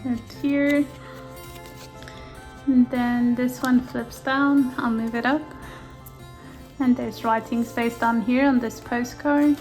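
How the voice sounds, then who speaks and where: Soft-spoken woman, native Afrikaans speaker. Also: a little high, steady tone, faint music behind. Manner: gentle, softly enthusiastic, unhurried. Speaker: junk journal maker. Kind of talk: casual flip-through narration. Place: indoors at a craft table.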